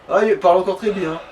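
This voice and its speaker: A man with a fairly high voice chats casually as a gaming co-commentator. He is slangy and teasing. He sits a little away from the mic, with faint noise behind him. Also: a little mellow, distant mic, rough recording. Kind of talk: casual gameplay chat.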